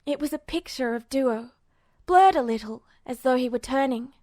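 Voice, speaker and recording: A woman's voice: sits high, normal speed, mellow, no room sound, studio quality. A woman reading scripted fiction aloud as a narrator. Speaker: amateur audiobook narrator.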